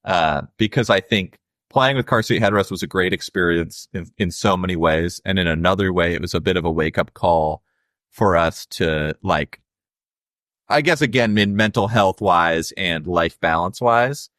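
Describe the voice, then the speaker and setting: A young adult man with a low voice, a musician guest talking casually and reflectively in an interview, with many fillers. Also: normal speed, a bit bright, no room sound, clean studio sound.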